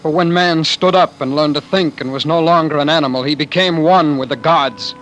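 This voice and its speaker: Man acting an anguished fugitive husband, old radio drama, bright voice. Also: on the high side, close to an everyday voice. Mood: somber, bitter. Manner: halting, stammering, repeats words. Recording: noisy vintage radio drama broadcast.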